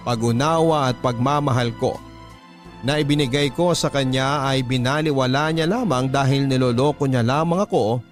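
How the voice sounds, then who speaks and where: Male radio storyteller, mid-pitched, reading a personal letter as drama, with clear music underneath. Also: moderate pace. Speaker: narrator reading a listener's letter.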